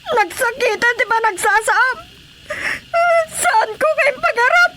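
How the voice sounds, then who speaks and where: A woman's voice: extremely high pitch, animated, takes it slowly, pushed and bright, a little hiss or hum, heavily acted. A woman playing a plaintive, wronged and sickly wife in a radio drama. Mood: hurt, crying. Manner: plaintive, emotional. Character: tearful wronged wife, sickly, pleading and wounded.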